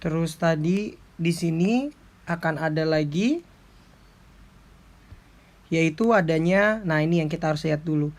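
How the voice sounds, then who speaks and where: A man explains like an accounting lecturer in an informal tutorial, going step by step in a halting way. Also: on the high side. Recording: clearly noisy background with clicks.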